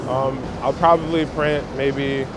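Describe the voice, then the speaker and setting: A man's voice: mid-range voice, fairly even intonation, slow. A man chatting casually and in slang, as a photographer being interviewed. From Brooklyn, New York. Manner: slangy, conversational. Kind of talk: casual chat. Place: outdoors on city streets. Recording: clear outdoor city traffic noise.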